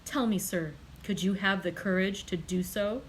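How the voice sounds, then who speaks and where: A woman voicing a grief-stricken, angry young man, reading him with loud, impassioned, accusing outbursts in an audiobook. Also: mid-range voice, normal speed, slight background noise, lightly acted. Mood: anguished.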